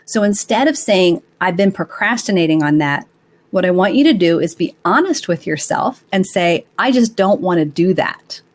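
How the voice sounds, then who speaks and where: A woman's voice: lowish voice, lively intonation, normal speed. A woman hosting a live advice show, talking conversationally in an encouraging, explanatory way to her audience. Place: live online call-in broadcast.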